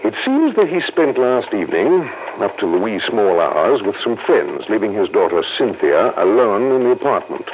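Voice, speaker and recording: An American actor playing a fussy, anxious insurance official with formal, precise, hesitant speech in a 1950s-style radio drama. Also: male voice, fairly low pitch, big pitch swings, moderate pace, few pauses, phone-quality sound. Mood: grave, insistent. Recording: thin, hissy old radio recording.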